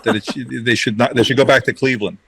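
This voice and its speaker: A man's voice: mid-range voice, steady tone, fast talker, vocal fry, a bit bright, slightly rough, dry recording. Middle-aged man, from Romania, raised in Brooklyn, brash joking storyteller, dry close recording. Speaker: interview guest, ad creative director. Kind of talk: casual storytelling.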